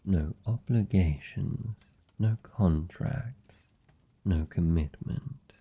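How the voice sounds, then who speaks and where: A man's voice: deep voice, vocal fry, husky, narrow, tinny audio. A British man playing a suave, seductive vampire lord in a fantasy ASMR roleplay, speaking formally and teasingly with commanding, predatory politeness. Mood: teasing, coaxing. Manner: teasing, formal, silky and deliberate. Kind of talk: fantasy ASMR roleplay, slow intimate monologue.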